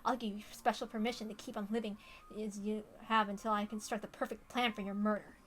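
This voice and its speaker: A woman's voice: medium pitch, little pitch movement, fast talker, a bit bright, lightly acted, rough recording. A woman voicing a teenage boy consumed by murderous rage in an intense, dramatic visual novel monologue. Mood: cold menace.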